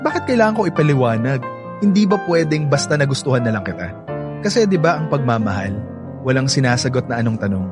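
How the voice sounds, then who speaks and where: An actor playing a reformed young playboy in a radio drama, speaking sincerely and persuasively with a Filipino accent. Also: male voice, medium pitch, very animated, quick pace, over music, lightly acted. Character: earnest reformed young playboy pleading his love.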